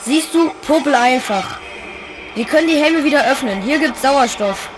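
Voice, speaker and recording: Wary orphan lad for a kids' space radio play: high, sarcastic, echoing over noisy ambience. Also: female voice, expressive, moderate pace. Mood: alarmed. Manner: sarcastic, nervy, youthful. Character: wary, wisecracking young orphan boy space adventurer. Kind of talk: German children's audio drama acting. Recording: very echoey, noisy hangar ambience.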